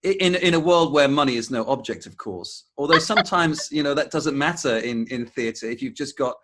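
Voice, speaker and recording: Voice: mid-range voice, a little fast, background noise. An interviewer who hosts the show, asking questions with stammering restarts and friendly prompting. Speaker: interviewer, host. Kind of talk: remote interview chat.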